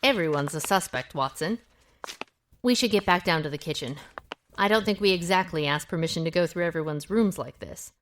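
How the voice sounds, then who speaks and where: An actress playing a shrewd, take-charge amateur detective with a brisk, wry way of reasoning aloud in an audio drama. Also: female voice, lowish voice, close to an everyday voice. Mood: wry, assured. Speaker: lead actor in an audio drama.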